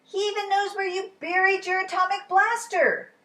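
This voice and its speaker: A woman's voice: very high voice, level tone, normal speed, pushed and bright, pitched above the performer's own voice. A woman voicing an earnest, wide-eyed young boy in a children's storybook. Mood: insistent. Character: earnest, adventurous young boy telling his dad. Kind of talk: children's storybook read-aloud.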